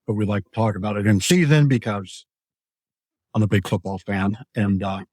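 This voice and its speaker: A man hosting a local business talk show, relaxed and wry. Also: fairly low pitch, normal speed, no echo, clean studio sound. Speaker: host.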